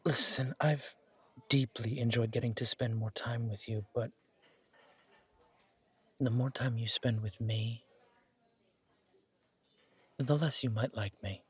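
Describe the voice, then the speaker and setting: A man playing an earnest, protective, wry mafia boss on a first date in a romantic audio roleplay, speaking in a measured, intimate way. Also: medium pitch, fairly even intonation, breathy edge. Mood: earnest, grave.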